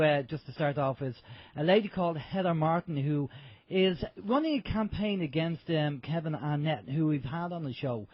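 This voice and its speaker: A man with a husky voice, co-hosting an internet radio show in a chatty, upbeat way with fillers. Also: a little high, no echo. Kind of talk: casual radio chat. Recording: dull lo-fi internet radio audio.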